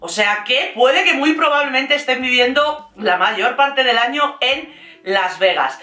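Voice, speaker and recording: Bright, high-voiced Spanish woman vlogger. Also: echoey room. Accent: Castilian Spanish. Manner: animated, gossipy, jokey and slangy. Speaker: YouTube host recapping reality TV. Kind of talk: casual, chatty vlog commentary.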